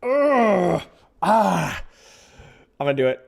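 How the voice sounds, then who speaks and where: A male streamer in casual live commentary with a high, very animated voice. He talks slowly and rambles, sounding exasperated and making fun of himself. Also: creaky. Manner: exasperated, self-mocking, rambling.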